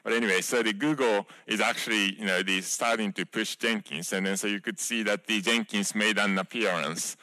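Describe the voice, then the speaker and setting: A man's voice: lowish voice, fairly even intonation, fairly quick, hardly any pauses, sharp tone, dry recording, poor audio. A man in his thirties giving a conference keynote on a large stage, talking conversationally with many fillers and restarts. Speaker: keynote speaker, software project creator.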